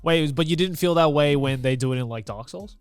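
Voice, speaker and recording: A man's voice: a little high, brisk, clean tone, dry recording, faint music behind. A man co-hosting a podcast in casual, slangy banter with friends. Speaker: podcast host.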